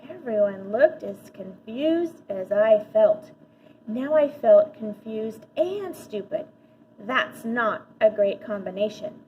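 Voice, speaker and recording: A woman reading a children's story aloud like a teacher, animated, with dramatic pauses and emphasis. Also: medium pitch, highs cut off, a little hiss or hum. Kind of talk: expressive children's audiobook-style read-aloud.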